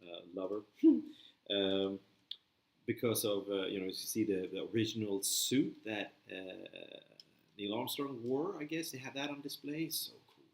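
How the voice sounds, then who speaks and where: Chatty Swedish man, fairly low voice. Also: measured pace, roomy sound. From Sweden. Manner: chatty, rambling, reads and answers viewer comments. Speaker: livestream host building a model kit. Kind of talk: casual live-stream chat while building.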